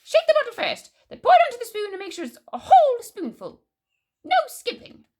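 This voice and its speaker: A cantankerous old grandmother from a children's storybook, very high and shrill. She barks orders and complains at full volume, melodramatic and spiteful, with wild swoops in pitch. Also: wildly swooping pitch, a little fast, heavily acted. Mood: bossy, snappish. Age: older. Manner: bossy, yelling, melodramatic. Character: cranky shrieking old grandmother, bossy and spiteful.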